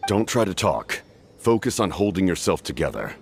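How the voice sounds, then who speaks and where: Stoic warrior, video game: extremely low, curt, restrained. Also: male voice, big pitch swings, moderate pace, a little hiss or hum. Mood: grave, restrained. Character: stoic, terse, very deep-voiced male warrior. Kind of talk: dramatic video game voice acting.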